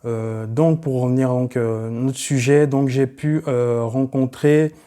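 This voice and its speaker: A man's voice: medium pitch, a little slow. A man in his late twenties, originally from Cameroon, chatting casually in a vlog with loose, rambling talk full of fillers. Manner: relaxed and rambling, many fillers like voilà and euh. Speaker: YouTuber.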